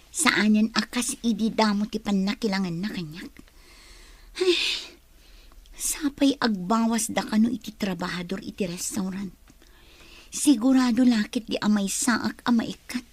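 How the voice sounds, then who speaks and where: A woman with an Ilocano Filipino accent playing a bitter, quick-tempered young pregnant woman in a radio serial, with emotional, accusing delivery. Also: medium pitch, a little mellow, slightly rough, no echo. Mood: worried, muttering. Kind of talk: radio serial drama acting.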